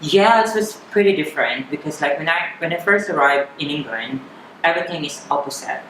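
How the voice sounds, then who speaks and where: A woman in her thirties with a Filipino accent, chatting candidly as an interview guest, often halting and repeating words. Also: low-pitched, animated, fairly bright tone. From Philippines. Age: 30s. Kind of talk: personal interview chat. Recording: echoey room with clear background noise.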